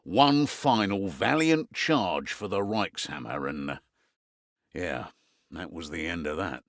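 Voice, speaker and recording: A gruff, middle-aged British innkeeper who runs a resistance from hiding. His delivery is lively and varied in pitch, with wry asides and a weary edge. It is a video game briefing, recorded very dry and close. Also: slow, no echo, heavily acted. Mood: grim, rueful.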